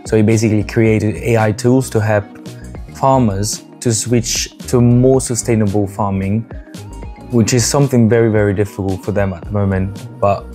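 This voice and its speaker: A man's voice: fairly low pitch, fairly even intonation, normal speed, warm tone, soft background music. A man hosting a podcast while cutting a guest's hair, chatty and asking questions, restarting his words often. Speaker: podcast host and barber. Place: barbershop.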